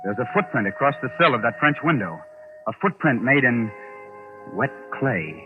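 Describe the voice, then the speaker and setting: An actor playing a shrewd, knowing investigator in a 1940s radio suspense drama, deliberate and authoritative. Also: male voice, medium pitch, lively intonation, music in the background, natural voice. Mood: grim, knowing. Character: shrewd, commanding man investigating a sinister mystery. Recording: muffled old radio drama recording, phone-like.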